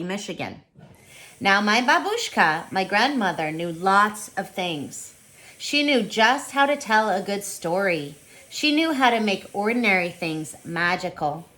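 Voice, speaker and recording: Bright-voiced woman reading aloud to children. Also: medium pitch. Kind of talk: online storybook read-aloud with casual chat. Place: indoors, on a video call.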